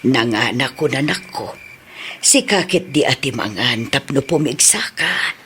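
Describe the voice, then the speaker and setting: A woman with an Ilocano accent and a husky voice acting a poor, gentle, doting mother in a radio drama. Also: rumbling low, animated, measured pace, slight background noise, exaggerated voice. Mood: tender, coaxing.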